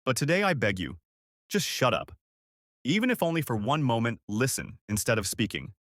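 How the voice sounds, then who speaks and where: A man voicing a weary, humble young housemaid who pleads earnestly and with quiet defiance in a told story. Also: medium pitch, big pitch swings, normal speed, dry recording, clean studio sound. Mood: defiant.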